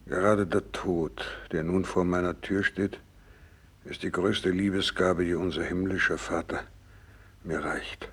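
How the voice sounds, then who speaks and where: An actor in a 1960s radio drama playing a gravely ill, devout doctor, husky and creaky, speaking slowly and solemnly with resigned fervour. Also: male voice, sits low, steady tone, exaggerated voice. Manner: slow, solemn, resigned, fervent. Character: gravely ill, devout, selfless male Japanese doctor nearing death.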